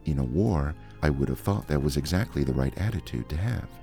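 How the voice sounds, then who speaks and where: A man doing a soft-spoken, polite student. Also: a bass voice, a little fast, vocal fry, husky, soft background music, full character voice. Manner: calm, courteous.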